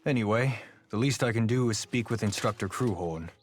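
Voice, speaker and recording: An actor playing a dutiful, burdened town officer in a fantasy video game. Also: male voice, fairly low pitch, brisk, faint music behind, close to an everyday voice. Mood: weary, businesslike. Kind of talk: game dialogue acting.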